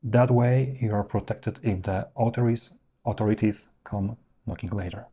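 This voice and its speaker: Low, husky-voiced man explaining professionally. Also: steady tone, moderate pace, narrow, tinny audio. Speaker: presenter of professional explainer videos. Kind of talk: instructional explainer, semi-scripted narration to viewers.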